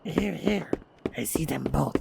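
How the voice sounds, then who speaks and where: A man voicing a savage, gloating feral vampire in a fantasy audio roleplay, with a gravelly snarl and halting, repeated short phrases. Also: fairly high pitch, expressive, very noisy, voice pushed up, exaggerated voice. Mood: hungry, excited.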